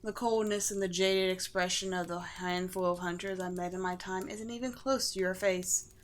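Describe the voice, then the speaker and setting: A woman voicing a gentle, regal undead vampire queen in a scripted ASMR roleplay, speaking formally and poetically with tender, light amusement. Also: fairly low pitch, fairly even intonation, moderate pace, natural voice. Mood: amused, reassuring.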